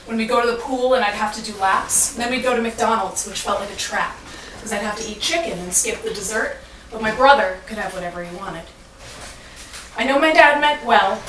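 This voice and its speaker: Female spoken-word performer, theatrical rhyming storyteller, deliberate delivery, roomy sound with clear background noise. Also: on the high side, fairly bright tone. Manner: dramatic, deliberate storytelling with singsong rhyme. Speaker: monologue performer. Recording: echoey, noisy room from far off.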